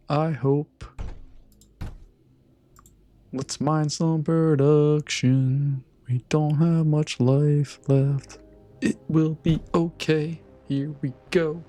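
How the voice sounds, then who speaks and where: A man with a hoarse, fading voice giving casual commentary on his own strategy game, chatting to his units as he plays. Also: fairly high pitch, level tone, extremely slow, mellow. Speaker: gaming channel host.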